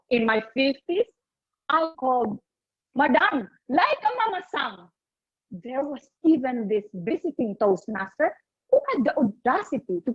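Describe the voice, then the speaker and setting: A woman in her late fifties with a Filipino accent, delivering a humorous contest speech in a theatrical, deliberate manner over an online call. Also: high voice. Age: late 50s. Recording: muffled online video call.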